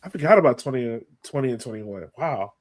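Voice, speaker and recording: American guy hosting a soccer podcast, casual fan chat, kinda excitable with exclamations. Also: mid-range voice, brisk. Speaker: podcast host.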